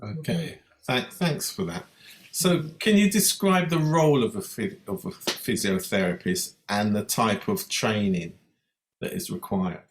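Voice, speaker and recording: A man's voice: fairly low pitch, unhurried, slight background noise. A man hosting an interview, making remarks and putting questions to a guest. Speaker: interviewer, host.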